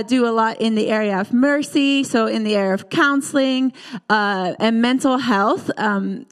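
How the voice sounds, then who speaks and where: A woman in her thirties from the Netherlands with a Dutch accent, giving a personal testimony in church in an earnest, halting way with many fillers. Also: fairly high pitch. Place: church hall. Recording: reverberant church hall.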